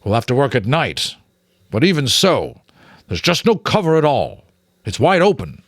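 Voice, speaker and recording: A practical working male rat, voiced by a man, talks cautiously and to the point. The delivery is animated and dry, like a dramatic children's audiobook. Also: medium pitch, normal speed, dry recording, voice pushed up. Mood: cautious, concerned.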